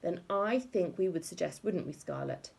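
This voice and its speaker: A woman plays a smug little schoolboy of eight in a children's storybook. He talks down to others in a knowing, condescending way, with a husky edge to the voice. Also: fairly low pitch, animated, moderate pace, darkish tone, heavily acted.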